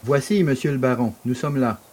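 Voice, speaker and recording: Man as a quick, deferential steward. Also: medium pitch, darkish tone, a little hiss or hum, lightly acted. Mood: eager, deferential. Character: deferential, prompt male fête steward. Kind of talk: French audio drama acting.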